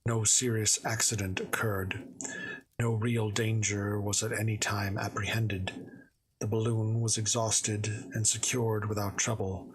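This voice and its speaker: A man reading a classic story aloud on a livestream, slightly hoarse, with small slips and self-corrections. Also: on the low side, little pitch movement, a little slow, low-quality recording. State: a little hoarse. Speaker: streamer reading stories aloud.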